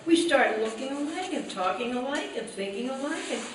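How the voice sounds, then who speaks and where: High, theatrical sitcom housewife, echoey hall. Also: female voice, animated, fairly quick. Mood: earnest, insistent. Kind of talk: old-time radio sitcom acting before live audience. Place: live stage performance in a hall. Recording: echoey hall, distant live stage, faint noise.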